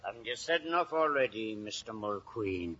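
An actor playing a gruff older Irish policeman father with wounded pride and a husky voice, in a radio drama. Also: on the low side, animated, normal speed, a bit bright. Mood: sullen. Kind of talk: 1950s radio drama acting. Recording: muffled vintage radio broadcast.

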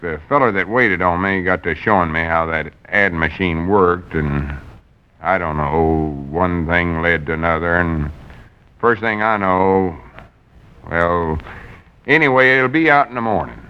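An actor playing an eager, gullible small-town storekeeper in an old-time radio comedy, with a rural Southern accent and earnest backwoods talk. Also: male voice, low voice, animated, measured pace, clear, resonant tone. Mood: folksy insistence. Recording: muffled vintage radio recording.

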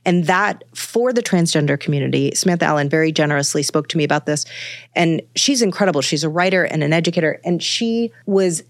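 Low-voiced woman, musing guest. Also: brisk, no echo, clean studio sound. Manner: thoughtful, meandering, many fillers and restarts. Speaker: author and podcast guest. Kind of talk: casual conversational interview.

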